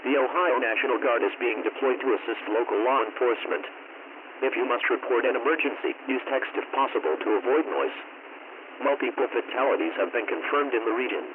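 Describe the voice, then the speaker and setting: Guy doing emergency alert announcements, stiff and formal, super tinny radio sound with loud static. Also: medium pitch. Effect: radio alert filter. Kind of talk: scripted emergency broadcast announcement.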